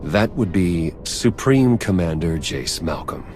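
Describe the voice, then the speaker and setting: Deep-voiced, wry sci-fi aide. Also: male voice, lively intonation, takes it slowly, a little mellow. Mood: upbeat, assured. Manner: casual, wry, quippy. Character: wry, loyal male intelligence officer and right-hand aide. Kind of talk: cinematic video game acting. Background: music.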